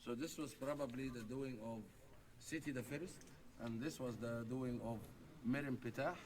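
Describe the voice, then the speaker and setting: A man guiding a tour of old stone ruins, explaining the site in a measured, lecturing way. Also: mid-range voice, a little mellow. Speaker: tour guide. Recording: distant mic with background noise.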